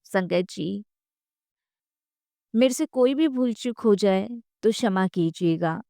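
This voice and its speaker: Female devotional narrator, slow and earnest, reverent delivery, close dry sound. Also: mid-range voice, animated, vocal fry, mellow, no room sound. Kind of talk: scripted devotional testimony narration.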